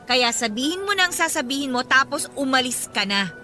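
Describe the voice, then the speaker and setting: A woman's voice: high voice, lively intonation, brisk, sharp tone, faint music behind. An actress playing an indignant, sarcastic mother who feels bitterly wronged, in an emotional radio drama style. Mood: confrontational, suspicious. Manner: biting, sarcastic retorts.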